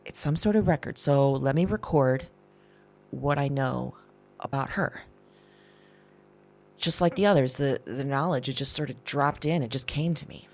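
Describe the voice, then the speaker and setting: A woman playing an anxious, shaken woman recording an audio diary, halting and breathy, repeating herself and dropping into whispers. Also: extremely low pitch, darkish tone. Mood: hushed, uneasy. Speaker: audio drama performer. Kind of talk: intimate confessional audio diary acting.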